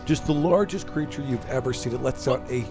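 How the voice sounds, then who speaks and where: Male game master for an actual-play podcast, conversational storytelling over a clear music bed. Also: medium pitch, brisk. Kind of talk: casual narration of a tabletop game. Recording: prominent music behind the voice.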